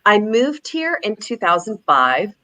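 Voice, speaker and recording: A woman's voice: medium pitch, very animated, a little slow, bright, forceful tone, no room sound. A middle-aged woman from Houston, Texas, chatting casually as a talk show guest, often laughing as she talks. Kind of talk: casual chat.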